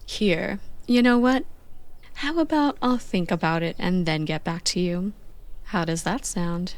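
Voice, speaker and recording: A woman's voice: lowish voice, measured pace. Woman doing a playful bossy young girlfriend for asmr roleplay, teasing chatty delivery, no room echo at all. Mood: smug, teasing.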